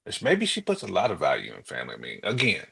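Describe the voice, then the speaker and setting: Deep-voiced man chatting on a podcast. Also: huge pitch swings, quick pace, gravelly, bright, forceful tone, slightly rough. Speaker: podcast co-host. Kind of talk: casual conversational discussion.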